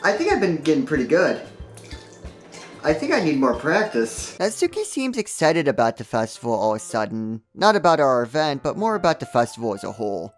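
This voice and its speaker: Animated, sarcastic man narrating a game. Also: a little high, fairly quick. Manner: sarcastic, joking asides with exaggerated reactions. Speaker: video game reviewer. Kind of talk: comedic scripted narration of a game playthrough. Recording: music under the voice. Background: background music.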